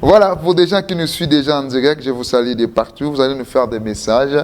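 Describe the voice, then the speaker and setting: A man from DR Congo hosting a live call-in interview, talking with animated swings and slipping between French and Lingala. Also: medium pitch, quick pace. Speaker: host.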